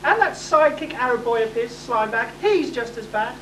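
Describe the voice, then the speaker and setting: A woman's voice: on the high side, animated, brisk, fairly bright tone. A woman in an amateur stage pantomime playing a haughty, stubborn young princess in an imperious, exclaiming manner. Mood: sulky. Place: hall stage, distant microphone. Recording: echoey distant hall, faint noise.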